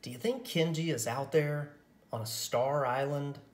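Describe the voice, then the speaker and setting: A man voicing a sick young girl in a picture book, soft, dreamy and wistful. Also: on the high side, unhurried, natural voice. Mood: sad, worried.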